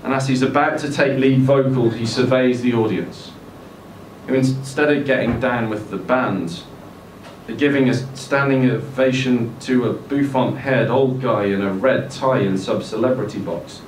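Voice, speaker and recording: A man's voice: medium pitch, fairly even intonation, measured pace. A man reading a comic story dramatically at a live literary event. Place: indoor social room at a live event. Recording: echoey venue, distant camera mic, faint noise.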